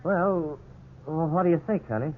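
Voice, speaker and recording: An actor playing an earnest, thrifty young husband in a 1950s radio drama, folksy and a little hesitant. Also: male voice, fairly high pitch, moderate pace, slight background noise, close to an everyday voice. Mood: earnest, easygoing. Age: young adult. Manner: folksy, hesitant, plain-spoken. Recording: muffled, phone-like 1950s radio recording.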